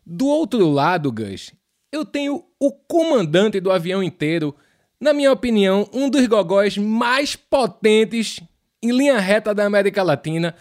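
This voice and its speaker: A man's voice: sits high, very animated, normal speed. A man with a northeastern Brazilian accent co-hosting a podcast in casual, playful slang full of pet names. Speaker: podcast co-host. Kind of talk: casual, slangy music show chat.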